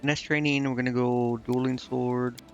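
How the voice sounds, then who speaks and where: A man's voice: mid-range voice, level tone, moderate pace, creaky, lo-fi sound, background noise. A man streaming a video game, thinking aloud in casual commentary and muttering indecisively to himself.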